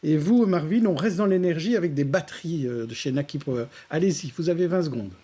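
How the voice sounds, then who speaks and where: Guy hosting a tv chat, kinda high voice, mellow and a bit husky. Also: fairly quick. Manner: hesitant, many fillers, friendly prompting questions. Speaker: TV show host. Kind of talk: studio talk show moderation.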